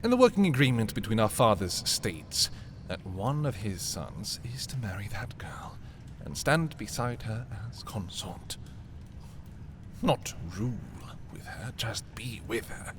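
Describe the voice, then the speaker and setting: A man playing an arrogant, possessive young prince in a dark fantasy audio roleplay, haughty and theatrical in his delivery. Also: fairly low pitch, slight background noise. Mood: bitter. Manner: haughty, dramatic, swinging from hushed to exclaiming. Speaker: voice performer. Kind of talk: dramatic roleplay audio, ASMR fantasy monologue.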